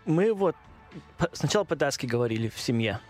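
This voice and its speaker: A man speaking with a strong Danish accent, a guest chatting casually in an interview and pausing often with fillers. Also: medium pitch, big pitch swings, a little mellow, soft background music. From Denmark. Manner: hesitant, many pauses and fillers.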